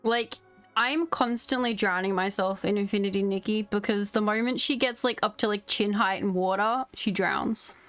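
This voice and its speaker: A woman's voice: mid-range voice, moderate pace, fairly bright tone, no echo, faint music behind. A woman streaming a video game, chatting casually with her viewers as she plays. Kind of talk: casual live stream commentary.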